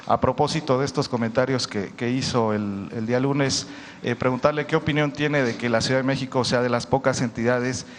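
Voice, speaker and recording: A man of middle pitch poses a long question with hesitations and fillers. His voice rings with hall reverb over faint background noise. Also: steady tone, a little fast. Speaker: reporter asking questions. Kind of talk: press conference question.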